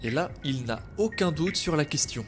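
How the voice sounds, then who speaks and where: A man's voice: on the high side, animated, moderate pace, soft background music. A man narrating a podcast story in a wry, storytelling manner.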